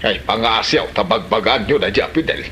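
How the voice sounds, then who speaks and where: A man's voice: fairly low pitch, moderate pace, sharp tone, slight background noise. A man playing a gossipy, easily offended old villager in a comedy drama, with an Ilocano Filipino accent and grumbling interjections. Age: older. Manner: exclaiming, grumbling, hesitant interjections.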